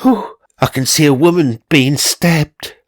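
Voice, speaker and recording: A man's voice: fairly high pitch, slow, no echo, clean studio sound, voice pushed up. A man voicing a campy, gushing psychic medium in comic storytelling, with theatrical exclamations and arch endearments. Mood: dramatic, urgent.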